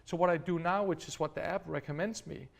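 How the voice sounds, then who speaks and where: A man's voice: fairly high pitch, a little fast, vocal fry. A man from Denmark, a startup founder being interviewed, explaining things step by step with many restarts and fillers. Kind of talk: interview answers.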